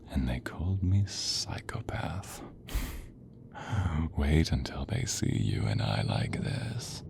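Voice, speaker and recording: Man playing a deep, gravelly, sadistic villain. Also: darkish tone, a little hiss or hum, heavily acted. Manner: slow sighing menace, breathy whispers, sudden commands. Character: sadistic male monster hunter, mad, theatrical, gloating villain. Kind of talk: audio role play addressed to the listener.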